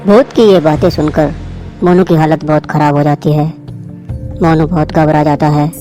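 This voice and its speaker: A storyteller narrates a scripted horror tale in a mellow voice. The pitch moves very little. Faint music plays underneath. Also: steady tone, moderate pace.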